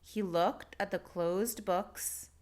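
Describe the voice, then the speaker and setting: A woman reading a children's storybook aloud in an expressive, deliberate narrating style. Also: medium pitch.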